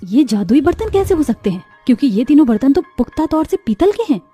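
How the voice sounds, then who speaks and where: A woman's voice: high-pitched, big pitch swings, quick pace, dark tone, faint music behind, voice pushed up. A woman voicing a poor young village daughter-in-law in a cartoon story, earnest and pleading in a dramatic, plaintive way. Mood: amazed. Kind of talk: Hindi moral cartoon story acting.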